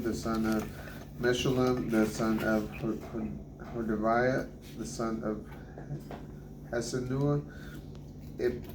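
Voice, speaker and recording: A middle-aged man reading scripture aloud to his family at a kitchen table, halting and restarting on hard names. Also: fairly low pitch. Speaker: family Bible reader. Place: kitchen table at home, indoors. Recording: echoey kitchen with background noise.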